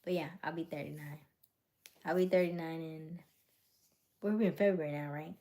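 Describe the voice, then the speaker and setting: A woman in her late thirties hosting a casual livestream chat, teasing and playful, slipping into quiet asides. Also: sits low, fairly even intonation, moderate pace. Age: late 30s. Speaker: livestream host. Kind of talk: casual live chat with viewers.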